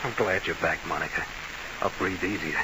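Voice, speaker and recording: A man's voice: low-pitched, moderate pace, close to an everyday voice. An actor playing a nervy, scheming nightclub boss in a 1940s radio crime drama, with slangy tough-guy talk. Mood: anxious, devoted. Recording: hissy old radio recording.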